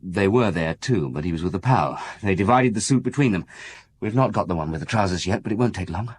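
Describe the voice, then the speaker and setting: An actor playing a young, deferential police detective in a radio drama, brisk and matter-of-fact. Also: male voice, deep voice, expressive, slightly rough. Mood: brisk, reporting. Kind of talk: British radio drama acting.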